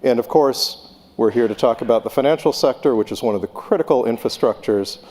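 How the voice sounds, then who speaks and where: A man moderates a panel on stage, loose and joking as he hands the floor around. His voice is warm and dark-toned. The sound carries a long reverb from a large conference hall. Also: mid-range voice, brisk. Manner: joking, relaxed.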